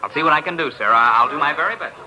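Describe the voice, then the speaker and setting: A man's voice: on the high side, expressive, rapid-fire, highs cut off, close to an everyday voice. A man playing a deferential, hesitant nightclub waiter in an old-time radio drama. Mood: obliging, hesitant. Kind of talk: 1940s radio drama acting. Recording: old radio recording with music behind.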